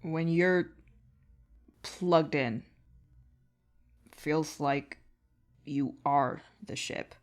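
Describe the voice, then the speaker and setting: A woman voicing a weary, bitter male alien troll who speaks in halting, terse fragments. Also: deep voice.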